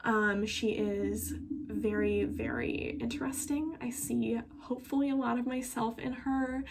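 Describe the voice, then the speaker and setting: A woman's voice: a little high, steady tone, unhurried, creaky. A young woman, a student actor, speaking in a casual interview. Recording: noisy recording.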